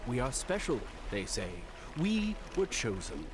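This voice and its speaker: An actor narrating a folk fable as an earnest storyteller, measured and dramatic. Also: male voice, medium pitch, huge pitch swings. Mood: earnest, mysterious. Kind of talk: scripted fairy-tale narration. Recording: music underneath.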